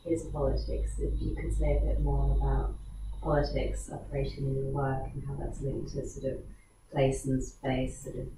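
Deep-voiced woman asking from the floor. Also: monotone, moderate pace. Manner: tentative, hesitant. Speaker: audience member asking a question. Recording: echoey room from far off, noise.

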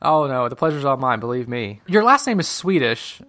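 Easygoing podcast host. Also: male voice, mid-range voice, very animated, fairly quick, a bit bright. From United States. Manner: friendly, easygoing, laughs often. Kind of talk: scripted intro then casual interview.

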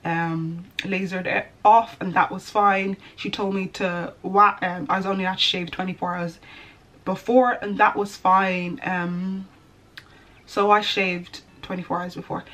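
A woman's voice: mid-range voice, fairly even intonation, measured pace, fairly bright tone. Chatty young woman vlogging from her bedroom. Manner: rambling, chatty, self-correcting with lots of "like". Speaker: beauty vlogger. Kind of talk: casual chat to camera. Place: small bedroom, indoors. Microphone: camera in front at desk distance.

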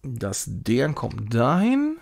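A man's voice: mid-range voice, wildly swooping pitch, drawn-out words, vocal fry, slight background noise. A man giving casual live game commentary as a streamer, thinking aloud in short muttered phrases. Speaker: streamer playing a retro puzzle game.

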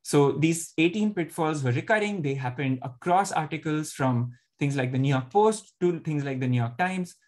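Man, slightly higher voice, academic presenter, video call audio, fillers and restarts. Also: moderate pace, few pauses, darkish tone. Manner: explanatory, frequent fillers and restarts. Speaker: panel presenter.

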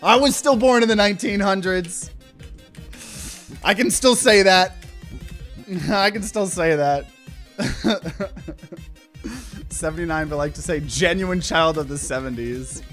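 A young man in his twenties streams a game and talks with his viewers. His voice sits high and he gets excitable, swearing freely as he plays. Faint game music hums underneath. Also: slow pace, pauses often. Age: 20s. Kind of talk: live gameplay commentary.

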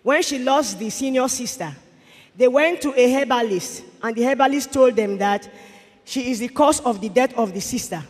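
A woman's voice: on the high side, normal speed. A middle-aged woman with a Cameroonian accent giving an earnest testimony as a witness, into a handheld microphone. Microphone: handheld microphone held for her. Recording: echoey large church hall.

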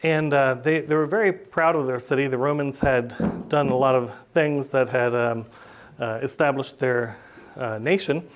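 A man's voice: mid-range voice, moderate pace. Middle-aged New man preaching. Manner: plain conversational delivery with many "uh" and "um" fillers. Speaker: pastor. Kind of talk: sermon teaching on church history. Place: small church room, indoors. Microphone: podium microphone.

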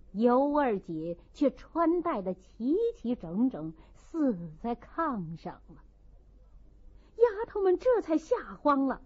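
A woman's voice: sits high, slightly rough, lo-fi sound. A woman narrating a classic novel as a dramatic audiobook.

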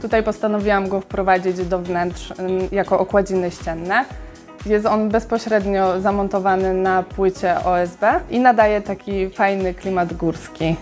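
A woman with a mid-pitched voice talks through her design decisions in a calm, explanatory way, pausing on small fillers as she goes. Light music runs quietly behind her. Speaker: interior architect, guest.

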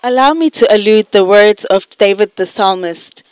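A woman's voice: mid-range voice, normal speed, smooth, no echo, faint music behind. A young adult woman presenting to camera in a scripted style. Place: empty church hall. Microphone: handheld mic.

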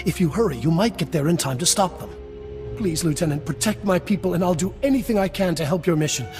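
A man's voice: high-pitched, fast talker, over music, lightly acted. A man playing a distressed, earnest mayor of a desert town, pleading in a dramatic video game. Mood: anguished, pleading.

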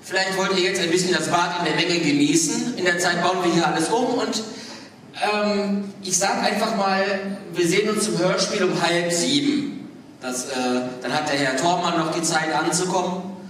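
A man's voice: high voice, steady tone, normal speed, fairly bright tone. A man hosting informally on stage as the moderator of a fan convention. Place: large hall stage, recorded from the audience. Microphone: distant camera picking up PA. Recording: echoey hall, distant, audience noise.

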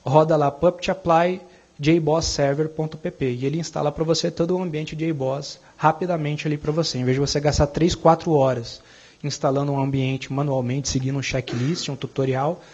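A man with a Brazilian Portuguese accent giving a conversational conference tech talk, often checking in with the audience with quick tag questions. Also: a little high, steady tone, a little mellow, husky. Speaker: technical speaker. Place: conference room. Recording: dull lo-fi conference recording.

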